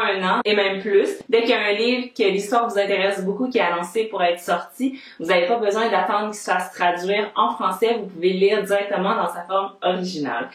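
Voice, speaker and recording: Young Québécois woman vlogger, upbeat and chatty, mid-pitched, in a room with audible echo. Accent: Québécois French. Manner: chatty and upbeat, lists her reasons one by one. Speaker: book vlogger. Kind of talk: casual chat to camera. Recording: roomy echo.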